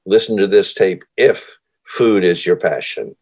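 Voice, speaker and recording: Male host, lowish voice, eager and rambling, restarts a lot. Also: unhurried, a little mellow, slightly rough. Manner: enthusiastic, rambling, many fillers and restarts. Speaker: interview host. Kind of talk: conversational interview.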